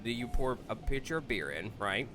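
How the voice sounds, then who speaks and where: A man's voice: medium pitch, lively intonation, moderate pace, noisy background. A man chatting casually and telling rambling anecdotes as a co-host of a gaming show. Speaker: video game show co-host. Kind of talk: casual chat.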